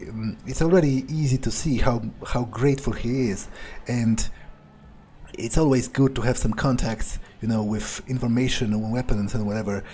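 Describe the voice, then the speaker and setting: Man role-playing a male vampire, mid-pitched, condescending, scheming, hesitant musing, improvised game monologue. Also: moderate pace, mellow, a little hiss or hum, lightly acted. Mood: dismissive, calculating.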